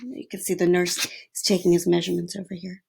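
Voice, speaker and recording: A woman's voice: lowish voice, level tone, brisk, creaky, background noise. A woman reading a children's storybook aloud like a teacher, explaining things simply for young listeners. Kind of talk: storybook read-aloud with plain asides.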